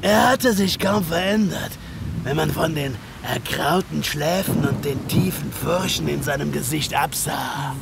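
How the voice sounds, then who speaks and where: Slow, high-pitched cold killer. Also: male voice, vocal fry, very noisy. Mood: cold, tense. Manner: measured, dramatic, deliberate. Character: cold, deliberate male ex-soldier killer recounting murder. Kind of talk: scripted first-person audio drama narration. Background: music.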